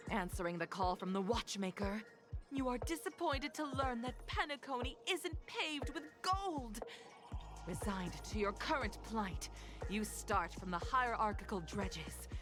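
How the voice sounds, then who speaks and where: A woman playing a theatrical, grandiose announcer, declaiming scripted video game event narration. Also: a little high. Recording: game audio with music behind.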